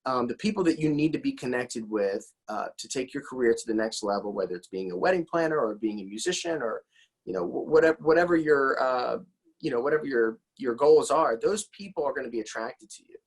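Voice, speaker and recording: A man's voice: medium pitch, animated, fairly quick, breathy edge, rough recording. A man hosting a casual interview in an encouraging, enthusiastic, rambling conversational way, often repeating his words. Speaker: interview host.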